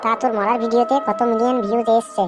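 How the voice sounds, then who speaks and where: A woman voicing a bossy, scolding ghost of a former thug in a comic cartoon, with gruff, coarse slang. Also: on the high side, fairly even intonation, quick pace, music in the background, lightly acted.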